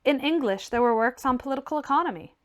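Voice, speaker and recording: Woman, fairly high voice, audiobook reader, scripted literary narration. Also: animated, quick pace.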